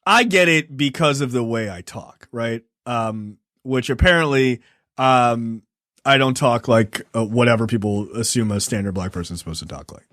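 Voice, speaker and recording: A man's voice: mid-range voice, fairly bright tone, no room sound. A man from Baltimore talks at length on a podcast, chatty and emphatic, with frequent laughs. His voice sits in a mid range. The sound is dry and close to the mic. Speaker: podcast co-host. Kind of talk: casual chat.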